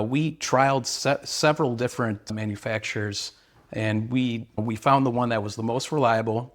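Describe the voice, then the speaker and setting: A man, an earnest, sales-minded company representative explaining products and service in interview answers. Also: on the low side.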